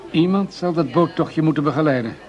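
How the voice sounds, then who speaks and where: An actor playing a composed, pragmatic federal police chief in a radio play, with brief, matter-of-fact delivery. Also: male voice, a little high, a little fast, a little mellow, some chatter behind, lightly acted. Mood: shrewd. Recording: dull, lo-fi radio drama recording.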